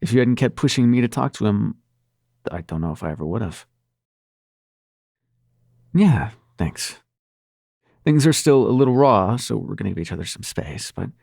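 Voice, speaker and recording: Man acting gravelly, flustered, smitten nightmare prince. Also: fairly low pitch, warm tone, dry recording, studio quality. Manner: halting, stammering, sweary and sardonic. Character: male supernatural prince of nightmares, brash, flustered, smitten lover. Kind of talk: intimate audio roleplay acting.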